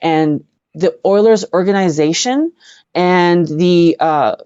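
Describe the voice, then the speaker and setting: A middle-aged woman with a western Canadian accent, telling personal stories as an interview guest in an earnest, halting way, repeating words for emphasis. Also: lowish voice, a little mellow, smooth, no echo, highs cut off. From Edmonton, Canada. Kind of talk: personal storytelling in a live online interview.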